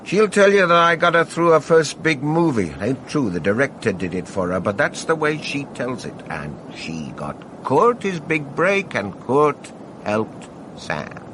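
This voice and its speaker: An actor playing a hard-nosed, middle-aged American Hollywood veteran in a radio drama, wry and guarded, with a laconic, folksy drawl. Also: male voice, medium pitch, very animated, measured pace. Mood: reflective. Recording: noisy archive radio broadcast with ambience.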